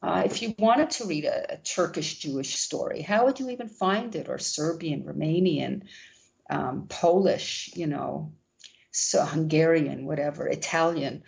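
A woman who founded a literary journal answering interview questions, talking gently with many restarts. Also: low-pitched, unhurried, creaky, dull audio.

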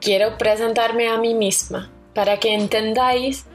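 A young woman from Connecticut with an American accent, hosting a radio series in a careful, measured, scripted way with small self-corrections. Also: on the high side, steady tone, faint music behind. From Connecticut, United States.

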